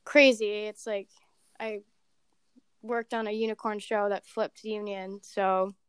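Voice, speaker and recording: Woman, 20s, podcast guest; flat, level delivery, crisp resonant tone. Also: mid-range voice, slow pace, no echo. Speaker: podcast guest, assistant director. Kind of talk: casual chat.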